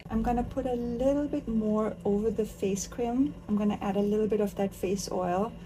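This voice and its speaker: A woman's voice: mid-range voice, steady tone, normal speed, warm tone, slight background noise, rough recording. A woman from Austria who is a makeup artist, chatting enthusiastically while she does someone's makeup in a living room. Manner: chatty, enthusiastic, talks while working with her hands. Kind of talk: casual chat while doing makeup.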